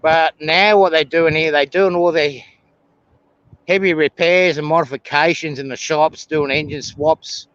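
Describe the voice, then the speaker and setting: A man's voice: on the high side, unhurried, clean tone, dull audio. Man giving a chatty walking tour. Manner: relaxed and chatty, explaining local rail history on a walk. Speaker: live stream host. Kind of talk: casual walking tour chat. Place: outdoors near a rail yard.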